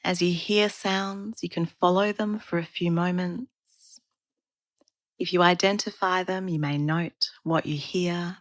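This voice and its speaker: A woman guiding a relaxation practice as a yoga therapist, speaking in a calm, soothing, unhurried manner with pauses. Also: fairly low pitch, no echo. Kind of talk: guided meditation narration.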